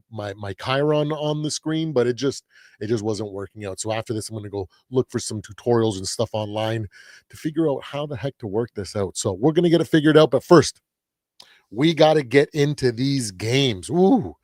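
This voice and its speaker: A man hosting a casual sports talk livestream, his voice a little raspy while he gets over a cold, rambling with lots of restarts and fillers. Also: mid-range voice, a little mellow, dry recording, studio quality. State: recovering from a cold. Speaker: host.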